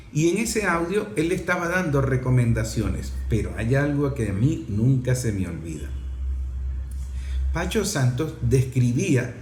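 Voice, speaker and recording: A man's voice: mid-range voice, darkish tone. Male political commentator, emphatic, explanatory, unscripted, echoey room, faint background noise.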